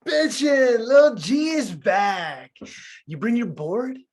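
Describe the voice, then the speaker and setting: An actor playing a loud, laid-back surfer dude in a table read. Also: male voice, very high voice, animated, slow, exaggerated voice. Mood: excited. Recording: slightly distant video call.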